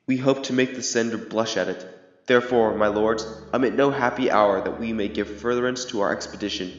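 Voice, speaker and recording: A man's voice: on the low side, steady tone, a little fast, natural voice. A young man playing a resolute, regal English king in a Shakespearean audio drama, speaking formal, declamatory verse. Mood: determined. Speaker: amateur student actor. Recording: muffled, echoey hall reverb under music.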